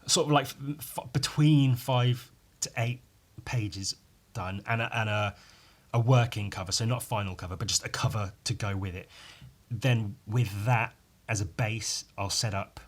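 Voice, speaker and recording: A man with a somewhat low, croaky voice talks solo in a loose, rambling podcast style. He is informal and swears freely, often undercutting himself or ending a thought with a little tag question. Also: a little slow, few pauses. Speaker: podcast host and illustrator. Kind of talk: casual rambling solo chat.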